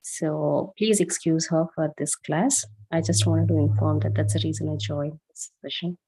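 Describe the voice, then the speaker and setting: A woman's voice: sits low, steady tone, normal speed, dark tone, breathy edge, rough recording. A mother who speaks politely and formally on a video call. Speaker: parent. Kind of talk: polite explanation.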